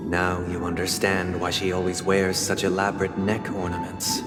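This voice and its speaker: A man playing a solemn, haunted idealist with authoritarian convictions in a video game, speaking in a measured, formal, grandiloquent way. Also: sits low, completely flat delivery, lightly acted. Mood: solemn, persuasive. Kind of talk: scripted dramatic video game dialogue. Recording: long hall reverb, game music behind.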